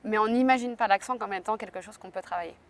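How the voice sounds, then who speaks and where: A woman hosting an interview podcast, chatty and lively, speaking casually with her guest. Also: mid-range voice, fast talker. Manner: lively and chatty, laughs easily. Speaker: podcast host.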